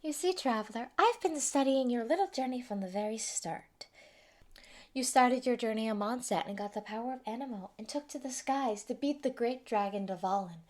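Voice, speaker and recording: A woman acts out a sadistic female mad scientist in an audio roleplay. Her voice sits fairly high, and she taunts her captive with giddy, gloating relish. The delivery is theatrical and menacing, able to slip between giggly delight and hushed threat. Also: moderate pace, lightly acted. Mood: taunting, gloating. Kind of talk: theatrical villain monologue, fan roleplay audio.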